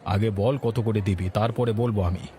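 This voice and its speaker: Man acting a mellow, calm village adviser. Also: on the low side, moderate pace, a faint crowd, close to an everyday voice. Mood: haggling. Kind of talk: cartoon voice acting, folk-tale dialogue.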